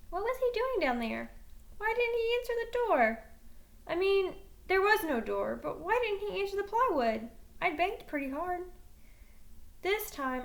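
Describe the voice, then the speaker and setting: A woman with a high, slightly creaky voice reads a first-person children's novel aloud. Her delivery is animated, and she leans on key words for emphasis. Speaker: teacher reading a novel aloud.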